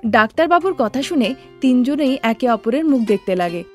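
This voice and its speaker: Female cartoon narrator, slightly high, steady storytelling. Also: normal speed, soft background music.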